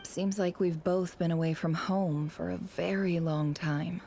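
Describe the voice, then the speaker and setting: A woman playing a calm, reserved, gentle leader in a video game, speaking softly and in measured phrases. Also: fairly low pitch, vocal fry, slight background noise.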